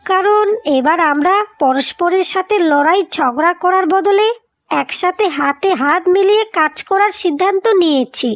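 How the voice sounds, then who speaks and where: Woman playing a very high-pitched, determined housewife. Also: no echo. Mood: resolved. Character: determined, practical young Bengali wives plotting to save home. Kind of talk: scripted cartoon dialogue.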